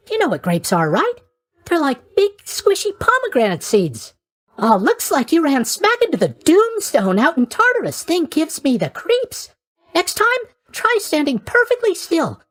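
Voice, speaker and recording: A man's voice: very high-pitched, huge pitch swings, a little slow, fairly bright tone, full character voice. A man playing a sleepy, flippant young god of sleep in a video game, with a chirpy, scatterbrained, cheerfully tactless delivery. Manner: chirpy, scatterbrained, mock-sympathetic delivery with stammers and trailing questions. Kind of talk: video game character voice acting.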